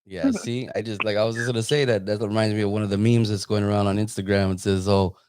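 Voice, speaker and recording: A man's voice: lowish voice, fairly even intonation, fast, a little mellow, clear, resonant tone. A man hosting a casual live interview, talking in an informal, rambling way. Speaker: interviewer and host; photographer.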